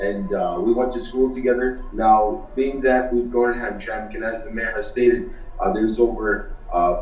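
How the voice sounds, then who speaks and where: A man in his twenties from Iraq, a city council member answering interview questions eagerly with many fillers. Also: mid-range voice. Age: 20s. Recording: roomy sound from far off the mic.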